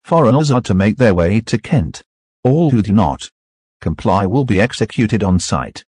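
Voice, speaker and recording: A man's voice: fairly low pitch, lively intonation, normal speed, a little mellow, a little hiss or hum, natural voice. A man playing a menacing herald of a totalitarian regime, making a formal, pompous announcement in a web drama. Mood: cold menace. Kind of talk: scripted propaganda announcement.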